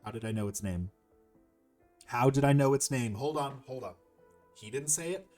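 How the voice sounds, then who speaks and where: A man's voice: mid-range voice, moderate pace, darkish tone. A man giving casual, chatty let's play commentary, thinking aloud over game music. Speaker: gaming video host.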